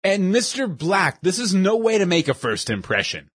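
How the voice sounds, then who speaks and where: A stern middle-aged school principal lectures the students, as a man reads him in a storybook. His voice is husky and close, with a lively rise and fall. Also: sits high, normal speed, dry recording, voice pushed up, full character voice.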